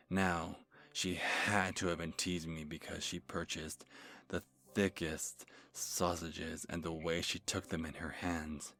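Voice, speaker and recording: A man playing a nervous, creepy lovestruck stalker telling a first-person horror story in an ASMR manner, halting and stammering as he corrects himself. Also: low-pitched, a bit bright, heavily acted. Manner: halting, stammering, breathy sighs and self-corrections. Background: music.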